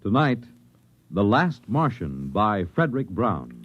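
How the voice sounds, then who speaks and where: A man's voice: fairly low pitch, sing-song, slow, husky, highs cut off. An American man announcing in 1950s radio style on an old broadcast recording. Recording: band-limited 1950s radio broadcast, music behind. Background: orchestral music.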